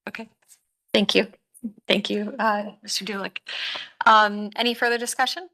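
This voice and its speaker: A woman chairing a public commission meeting, her voice slightly raspy today, moving things along in a brisk, procedural way. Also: medium pitch, little pitch movement, bright, forceful tone, rough recording.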